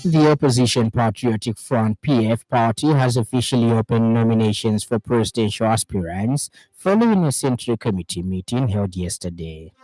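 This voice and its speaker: A man's voice: mid-range voice, animated, moderate pace, music in the background, poor audio. A man with a Zambian accent reading a scripted radio news bulletin in a studio.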